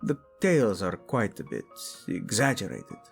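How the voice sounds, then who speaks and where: A man's voice: fairly low pitch, huge pitch swings, vocal fry, faint music behind, full character voice. A man playing a devoted, hot-tempered yet tender king, speaking intimately and teasingly in a romantic audio roleplay. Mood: adoring, amused. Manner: soft, intimate, teasing, halting.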